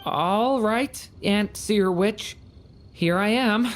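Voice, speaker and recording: A woman's voice: mid-range voice, extremely slow, a little hiss or hum. A woman voicing an earnest, curious young boy in a video game. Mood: earnest, unsure.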